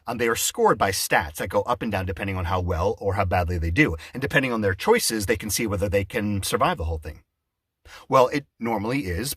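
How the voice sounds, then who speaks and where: A man speaking as a theatre director in a one-sided conversation, explaining his show in a halting, digressive way and often breaking off to answer an unheard listener. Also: fairly low pitch, fairly bright tone, husky, no echo, studio quality. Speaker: theatre director, adapter and announcer. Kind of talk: one-sided mock conversation promoting an audio drama event.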